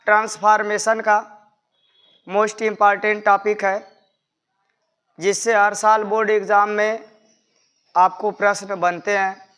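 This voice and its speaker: A male teacher delivers an explanatory lesson in a bright, forward voice. His speech is slow and very clean in tone. He goes through each point methodically, restating it as he goes. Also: high voice, clean tone. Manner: methodical, repetitive step-by-step explaining. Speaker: English grammar teacher. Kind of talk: explanatory classroom-style lesson.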